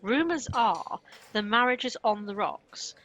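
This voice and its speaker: A woman playing a sharp-tongued, bossy but protective chambermaid in a comic radio play. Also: a little high, expressive, measured pace, a little hiss or hum. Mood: wry, bossy.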